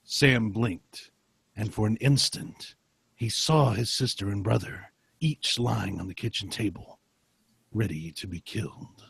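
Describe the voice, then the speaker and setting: A man co-hosting a podcast, moving between self-deprecating chat and deliberate, dramatic story reading. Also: lowish voice, creaky, breathy edge, no echo. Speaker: podcast co-host.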